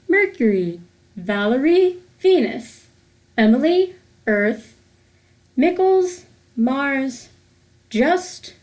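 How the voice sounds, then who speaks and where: A woman with a high-pitched voice reads a children's picture book aloud. She takes her time, with a slow, sing-song delivery that sets out each rhyming phrase deliberately. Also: darkish tone. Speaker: host of a children's read-aloud series.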